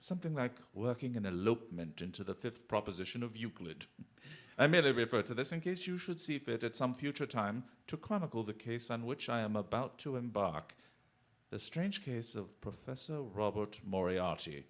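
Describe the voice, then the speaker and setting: An actor playing a brilliant, restless gentleman detective in a radio drama, with a grandly eloquent, quick-witted manner. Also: medium pitch, expressive, lightly acted. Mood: serious, measured.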